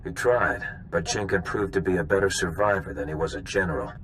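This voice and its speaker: A man's voice: low voice, brisk, a bit bright, a little hiss or hum, poor audio. An actor playing a seasoned bounty hunter in a video game, wry and knowing as he lays out old history. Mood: explaining, cautionary.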